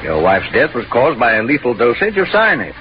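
A coolly accusing family doctor in a 1940s radio thriller. His medium-pitched male voice is formal and measured, moving widely in intonation, on a dull old recording. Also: huge pitch swings, a little hiss or hum. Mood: suspicious.

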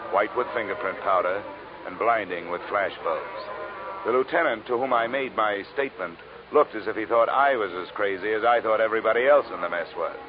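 An actor playing a tough, skeptical insurance investigator in an old radio detective drama, with dry, clipped, world-weary delivery. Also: male voice, fairly low pitch, fairly quick, lightly acted. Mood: wry narration. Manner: hard-boiled, dry, clipped. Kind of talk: 1940s-50s radio detective drama acting. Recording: dull, noisy old radio recording.

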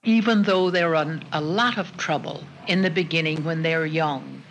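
Higher-pitched American man, old portable recording. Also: normal speed, a bit bright, rough recording. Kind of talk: casual interview answer. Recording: 1950s portable recorder, faint noise.

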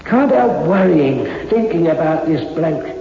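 Kindly morgue keeper, seventies, high creaky voice, echoey old radio recording. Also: male voice, lively intonation, measured pace, lo-fi sound, background noise. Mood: contented, musing. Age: 70s. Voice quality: croaky old voice. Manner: chuckling, rambling, colloquial. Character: kindly, contented old male morgue attendant. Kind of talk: 1960s radio drama acting.

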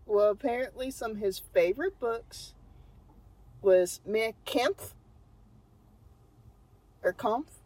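A woman's voice: fairly high pitch, takes it slowly, long pauses, darkish tone, no echo, low-quality recording. A young woman with a Texan accent, casually telling a true crime story as a podcast co-host, laughing often. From Texas. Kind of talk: casual chat.

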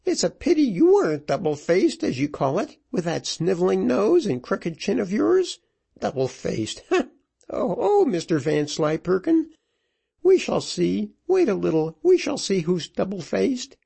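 A man voicing a brash, sharp-tongued widow, mocking and indignant, in a dramatised storybook reading. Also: high-pitched, sing-song, moderate pace, gravelly, few pauses, husky, voice pushed up, full character voice. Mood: raging, mocking. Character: brash sharp-tongued middle-aged widow, scornful and scolding. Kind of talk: dramatised audiobook character voice.

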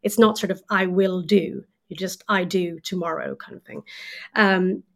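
Swedish lady on a podcast, thinks out loud, lots of ums and sort-ofs. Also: fairly low pitch, fairly even intonation, moderate pace, vocal fry. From Sweden. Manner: thoughtful, explains with hesitant fillers. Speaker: guest author. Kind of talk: casual podcast conversation.

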